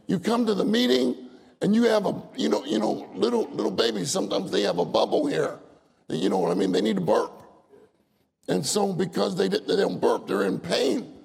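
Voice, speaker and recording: A man's voice: high-pitched, vocal fry, darkish tone, slightly rough. An older man preaching a folksy sermon, telling stories, acting out voices and laughing often. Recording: long hall reverb.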